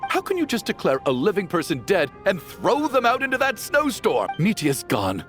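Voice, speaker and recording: An actor playing a grieving middle-aged father, anguished and pleading, his voice swinging from low and sombre to raised cries, over faint game music. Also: wildly swooping pitch, normal speed, heavily acted. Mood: desperate. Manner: anguished, pleading, rising to shouts. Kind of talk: video game voice acting.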